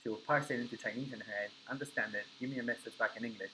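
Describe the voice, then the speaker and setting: A man's voice: mid-range voice, fairly even intonation, very fast, sharp tone, a little hiss or hum, low-quality recording. A man whose first language is Spanish teaches a live coding class, explaining step by step and checking in with his students. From Argentina. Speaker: coding instructor.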